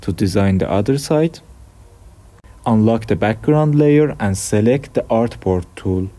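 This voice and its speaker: A young man from Iran with an Iranian accent, hosting a software tutorial with plain step-by-step instructions. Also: fairly low pitch, animated, a little slow. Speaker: tutorial host. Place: dim room at a desk.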